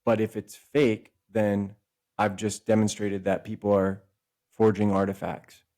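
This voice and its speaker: A man's voice: lowish voice, level tone, dark tone. A man talking as a podcast guest and author, explaining at length in a discursive way and often stopping to correct himself. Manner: discursive, self-correcting, speeds up and slows down. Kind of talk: casual explanatory conversation.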